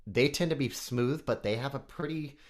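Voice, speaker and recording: A man's voice: mid-range voice, moderate pace. A man with a nasal voice, a guest on a podcast, chatting casually and technically about microphones. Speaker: guest, microphone company CEO.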